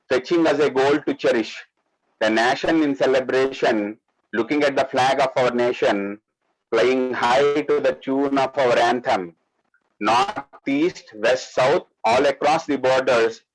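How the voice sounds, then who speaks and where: A man's voice: medium pitch, rough recording. A man with an Indian accent reciting free verse poetry in a solemn, declamatory way. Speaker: poet.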